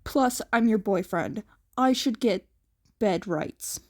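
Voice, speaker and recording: A woman's voice: medium pitch, expressive, takes it slowly, a little mellow, voice pushed down. A woman voicing a teasing, dramatic young man, a sardonic boyfriend in a fan fiction story.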